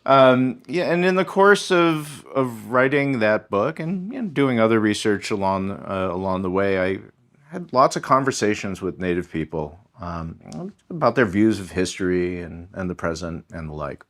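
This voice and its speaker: Thoughtful low-voiced man in conversation. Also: expressive, fairly bright tone. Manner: thoughtful, measured, with frequent ums and restarts. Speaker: history professor, guest. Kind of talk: conversational podcast interview.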